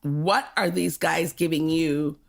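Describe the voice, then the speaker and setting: A woman's voice: low voice, fairly even intonation, takes it slowly, vocal fry. An older woman from Jamaica talking frankly in a podcast conversation, often laughing as she reflects.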